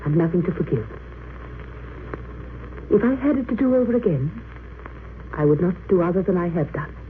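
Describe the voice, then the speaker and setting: Actress for composed, idealistic condemned woman, mid-pitched, solemn and formal. Old radio drama, tinny vintage sound. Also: lightly acted. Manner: solemn, earnest, formal oratorical phrasing. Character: Edith Cavell, condemned woman prisoner, composed, idealistic, resolute. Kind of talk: 1930s-40s radio drama acting. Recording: muffled, noisy vintage radio recording.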